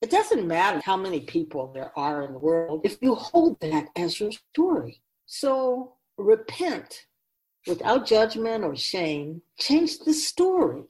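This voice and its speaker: A woman's voice: mid-range voice, sing-song, slow, darkish tone, poor audio. A woman playing a wise otherworldly keeper of souls in a radio drama, teaching humanity in an emphatic, oracular manner with rhetorical questions. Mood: earnest, urging. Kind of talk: radio drama dialogue, lecturing.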